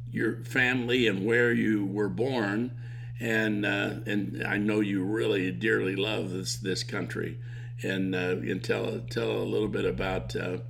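Male podcast host, gravelly, hesitant with frequent fillers. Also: on the low side, fairly bright tone, a little hiss or hum. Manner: halting, many fillers and restarts. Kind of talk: interview podcast.

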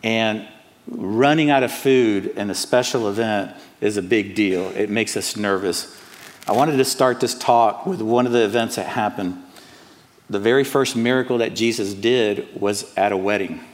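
A middle-aged man from Cuba, raised in the American South, with a Southern accent, preaching an earnest and personal sermon, often pausing on "uh". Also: on the low side, in a large hall. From Cuba, raised in Atlanta. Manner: earnest, personal, with frequent "uh" fillers. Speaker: pastor. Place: church sanctuary.

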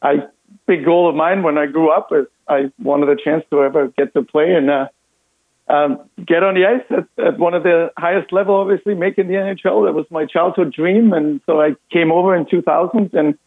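A man's voice: fairly high pitch, moderate pace. A man in his thirties from Austria with an Austrian German accent, a radio guest talking earnestly with a lot of fillers. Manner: earnest, relaxed, many fillers. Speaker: insurance broker, radio guest. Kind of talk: phone-in radio interview. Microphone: phone. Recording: tinny phone line.

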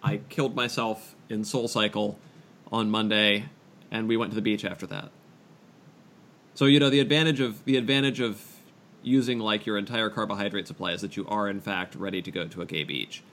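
A man's voice: lowish voice, fairly even intonation, a little fast, a bit bright, a little hiss or hum. A man co-hosting a casual podcast among friends, talking in a hesitant, dryly analytical way. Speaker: podcast co-host.

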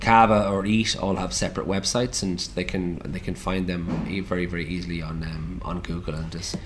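A man's voice: low voice, little pitch movement, fairly quick, a little hiss or hum. A young Irish man who runs restaurants, chatting casually in a radio interview with lots of restarts. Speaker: restaurant owner and chef.

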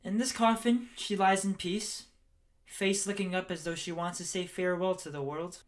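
Woman acting stern low-voiced cleric in a radio play, solemn, commanding, declaiming. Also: fairly even intonation, normal speed, lightly acted.

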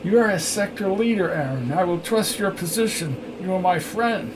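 Male narrator playing a wary rebel friend, high-pitched, cautioning, measured, faint noise. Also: lively intonation.

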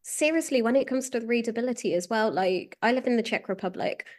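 A woman's voice: on the high side, quick pace, a little mellow. A woman chatting casually as a podcast guest, informal and emphatic, with frequent exclamations. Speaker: podcast guest, SEO professional. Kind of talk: casual chat.

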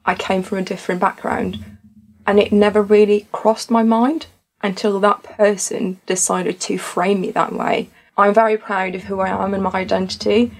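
A woman's voice: medium pitch, little pitch movement, normal speed, rough recording. A young woman from Tunisia, a student society founder, talking earnestly and reflectively in a podcast conversation.

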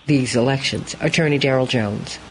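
A woman's voice: extremely low pitch, moderate pace, a little hiss or hum, low-quality recording. A woman hosting a spontaneous panel discussion, speaking emphatically and repeating phrases for emphasis. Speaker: host.

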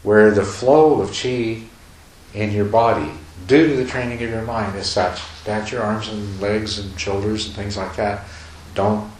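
Man, meditation teacher, low voice, rambling with restarts, echoey room, faint hiss. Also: steady tone, measured pace. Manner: rambling, many restarts, chuckles softly. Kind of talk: dharma talk to retreat group. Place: retreat meditation hall.

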